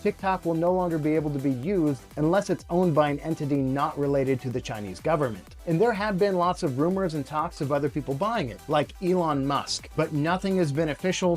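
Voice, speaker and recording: Man, young adult, fairly high voice, opinionated, direct to camera, faint music behind. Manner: opinionated, explanatory, addresses viewers directly. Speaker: YouTube host. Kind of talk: scripted commentary video.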